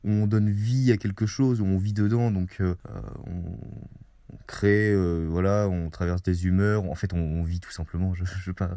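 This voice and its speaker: Young man, hesitant reflective chat, warm low tone, dry sound. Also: fairly even intonation, moderate pace, no echo. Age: young adult. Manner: hesitant, many fillers and restarts. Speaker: student interviewee. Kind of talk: casual reflective talk.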